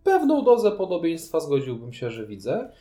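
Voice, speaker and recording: Mellow analytical man in an echoey room. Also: on the high side, wildly swooping pitch, moderate pace. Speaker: podcast co-host. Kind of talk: casual board game discussion. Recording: roomy, echoing room sound.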